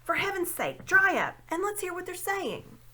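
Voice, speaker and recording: A woman's voice: very high-pitched, big pitch swings, moderate pace, pushed and bright, pitched above the performer's own voice, heavily acted. Woman putting on a snappish, sulky young schoolboy. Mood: irritated, snappish. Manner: snappish, irritable.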